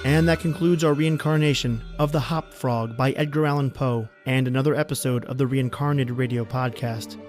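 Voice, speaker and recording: A man narrating a horror story as podcast host, with theatrical, deliberate phrasing. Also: medium pitch, fairly even intonation, darkish tone, faint music behind.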